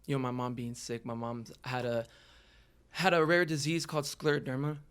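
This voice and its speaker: A man's voice: medium pitch, little pitch movement, moderate pace, a bit bright, smooth. A man from the Los Angeles area telling his own story as a podcast guest in a casual, slangy way. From Los Angeles area, United States. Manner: informal, slangy. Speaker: podcast guest, hip-hop artist.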